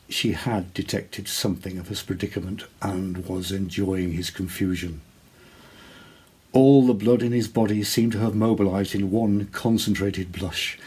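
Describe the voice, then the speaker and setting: Very husky, low-voiced male storyteller. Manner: measured, expressive, deliberate. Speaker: actor reading a short story. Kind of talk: dramatic literary narration.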